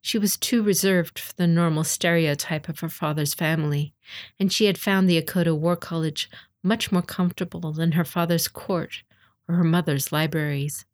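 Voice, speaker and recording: A woman's voice: fairly low pitch, fairly even intonation, normal speed. A woman narrator reading a scripted fantasy story in an audiobook style.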